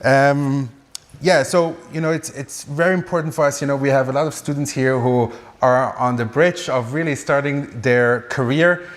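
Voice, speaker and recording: A man's voice: mid-range voice, steady tone, normal speed. A man moderating a fireside chat, welcoming the guests in a friendly, slightly hesitant way.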